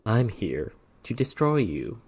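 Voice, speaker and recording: Man playing a stern, deliberate ghost hunter. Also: on the low side, big pitch swings, vocal fry, a little mellow, slightly rough, voice pushed down, heavily acted. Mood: firm. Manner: deliberate, formal, unyielding. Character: calm, stern male ghost hunter bent on justice.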